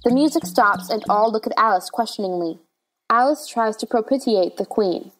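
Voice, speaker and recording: A woman's voice: fairly high pitch, normal speed, soft background music. A woman narrator reading stage directions as scripted narration.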